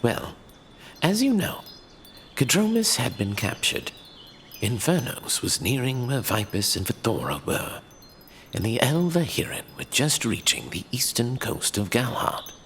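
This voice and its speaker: Guy acting a wise old archivist telling fantasy legends, slow dramatic delivery, faint ambience behind. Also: mid-range voice, big pitch swings, fairly bright tone, a little hiss or hum. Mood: grave, portentous. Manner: grave, theatrical, measured. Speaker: narrator.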